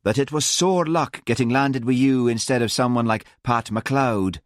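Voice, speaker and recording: A man voicing an easygoing Highland policeman in an audiobook, speaking lightly and pleasantly with a soft cutting edge and a Scottish accent. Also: sing-song, unhurried, dry recording, studio quality. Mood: quietly furious. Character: easygoing Highland policeman, politely and dreamily insulting.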